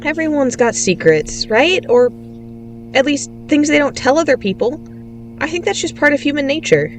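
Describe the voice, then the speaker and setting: Nervous high-voiced young woman. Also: big pitch swings, moderate pace, soft background music. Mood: anxious, gentle. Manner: hesitant, stammering. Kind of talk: audio drama acting. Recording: faint mains hum.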